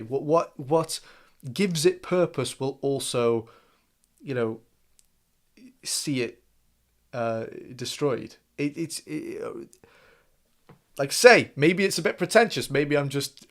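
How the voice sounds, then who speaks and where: A young man with an English accent hosting a reaction video, thinking aloud in casual commentary with frequent restarts and fillers. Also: a little high. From England. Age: young adult.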